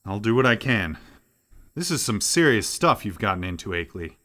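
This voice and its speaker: An actor playing an earnest, astonished professor in a radio play, full of questions. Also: male voice, on the low side, very animated, moderate pace, bright, forceful tone. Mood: intrigued. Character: earnest, astonished male professor investigating the uncanny.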